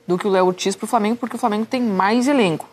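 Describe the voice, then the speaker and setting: A woman's voice: mid-range voice, a little mellow. A woman with a Brazilian accent, a football pundit who gives her picks decisively. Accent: Brazilian Portuguese. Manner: decisive, quick.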